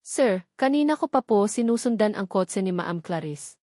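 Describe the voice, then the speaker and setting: A woman's voice: medium pitch, expressive, moderate pace, warm tone, no echo, clean studio sound, natural voice. A woman voicing a loyal old male trooper, anxious and deferential, reporting urgently in an audio drama. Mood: urgent, worried.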